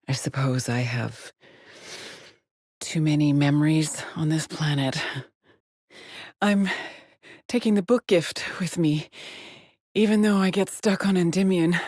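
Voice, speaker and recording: A woman's voice: sits low, darkish tone, slightly rough, no room sound, clean studio sound, exaggerated voice. An actress playing a weary, haunted woman astronaut in a video game, speaking in terse callouts or brooding murmurs. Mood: resigned, sad. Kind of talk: scripted game character voice lines.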